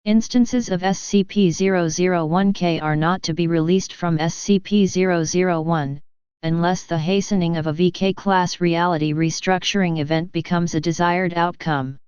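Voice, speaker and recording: A woman reading scripted narration of a formal document in a measured, impersonal manner. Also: fairly low pitch, steady tone, darkish tone, smooth, no echo, dull audio.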